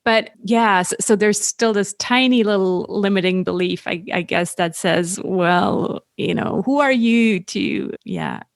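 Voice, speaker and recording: A woman of mid pitch hosts a podcast conversation, talking candidly and reflectively as she thinks aloud. Her voice sounds dry and close to the mic. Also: lively intonation, unhurried, creaky, few pauses, no echo. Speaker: podcast host. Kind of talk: conversational interview.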